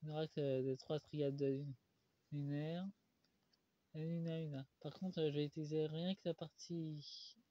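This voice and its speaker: A man with a cold, doing a solo tarot card reading, slowed by many pauses and fillers. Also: fairly high pitch, little pitch movement, no room sound. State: stuffy with a cold. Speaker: tarot reader. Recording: dull lo-fi recording.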